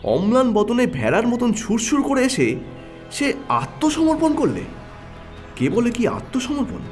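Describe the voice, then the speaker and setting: A man's voice: extremely high pitch, very animated, a little slow, faint music behind, full character voice. An actor playing a keen, confident male detective who reasons emphatically in an audio mystery drama. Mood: insistent.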